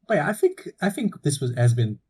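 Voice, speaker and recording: Young man, from Portugal, husky voice, relaxed chat, cheap mic. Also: on the high side, brisk. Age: young adult. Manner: relaxed, swears casually, laughs often. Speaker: podcast co-host. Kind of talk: casual chat. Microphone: cheap low-quality mic.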